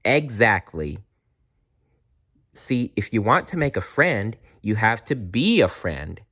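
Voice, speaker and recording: A man voicing a gentle, wise and giggly stuffed bear in a children's storybook, with a kindly, earnest way of coaxing. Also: fairly low pitch, big pitch swings, slow pace, husky, full character voice. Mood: kindly advising.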